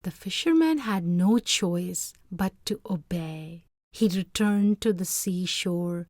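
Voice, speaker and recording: Woman storyteller, slow pace, medium pitch, dramatic measured narration, very dry recording. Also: darkish tone, no echo. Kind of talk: expressive scripted storybook narration.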